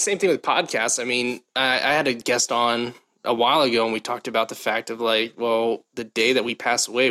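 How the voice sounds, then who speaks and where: A man's voice: mid-range voice, sharp tone. Easygoing young male podcast host. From Ohio. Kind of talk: casual conversation.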